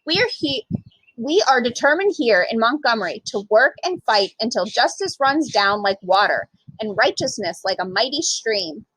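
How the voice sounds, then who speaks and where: Woman reading as an earnest male preacher, high-pitched. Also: expressive, normal speed, fairly bright tone, husky, dry recording, rough recording. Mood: resolute. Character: earnest, steadfast male civil rights preacher giving a speech.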